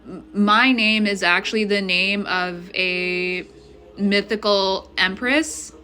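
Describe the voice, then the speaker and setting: A woman's voice: medium pitch, sharp tone. A woman from Ethiopia talking straight to camera in a vlog, emphatic and indignant, often checking in with the viewer. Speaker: vlogger. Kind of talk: direct-to-camera monologue.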